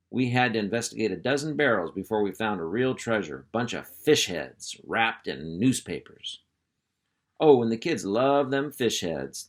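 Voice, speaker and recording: A man, a school superintendent, reading a children's storybook aloud in a folksy, expressive way. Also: lowish voice. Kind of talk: storybook read-aloud narration.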